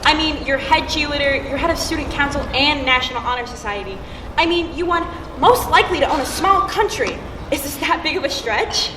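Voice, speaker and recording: A sarcastic, blunt schoolgirl acted by a woman with a high voice. The school play is recorded with echo and noise. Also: fairly quick, lightly acted. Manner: sarcastic, mock-announcing, blunt. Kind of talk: amateur school stage play acting. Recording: echoey stage with clear noise.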